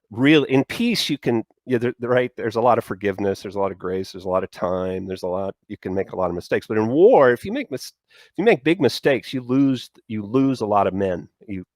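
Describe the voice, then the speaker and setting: A male talk show host with a somewhat low voice, recorded dry with no room sound. He talks in rambling, stop-start phrases, stumbling through fillers before landing his point. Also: no room sound. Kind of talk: casual talk show conversation.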